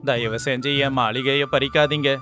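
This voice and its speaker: A man playing a rich, miserly merchant in an animated moral story, sly and obsequious, protesting in a whining way. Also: mid-range voice, normal speed, faint music behind, lightly acted. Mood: pleading.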